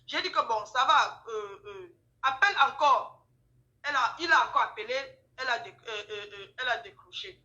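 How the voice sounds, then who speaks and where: A woman with a Cameroonian French accent telling gossip casually, in an emphatic, animated way, repeating phrases and acting out dialogue. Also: on the high side, moderate pace, lo-fi sound. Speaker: guest telling her account. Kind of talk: casual spoken storytelling, gossip. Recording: roomy, echoing sound.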